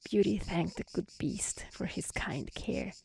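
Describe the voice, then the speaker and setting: Woman, ASMR storyteller, slow, breathy, heavy vocal fry, calm, gentle, reading outdoors. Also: on the low side. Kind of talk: soft-spoken ASMR fairy-tale reading. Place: outdoors in nature.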